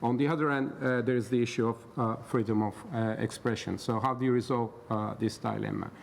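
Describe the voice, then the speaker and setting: A middle-aged man from Turkey giving a conference talk as an expert panel speaker, hesitant and full of filler pauses. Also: mid-range voice, fairly even intonation, gravelly. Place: conference hall. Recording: roomy hall reverb, faint background noise.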